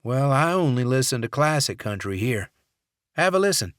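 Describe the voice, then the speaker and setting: A man's voice: mid-range voice, sing-song, moderate pace, a bit bright, no echo, pitched above the performer's own voice. Audiobook: male narrator voicing an easygoing, joking dock guard, dry close read. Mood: friendly.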